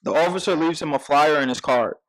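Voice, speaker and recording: A man with a fairly high voice narrates a screenplay. He reads scene headings and stage directions as scripted narration at a table read. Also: a little fast, fairly bright tone, low-quality recording.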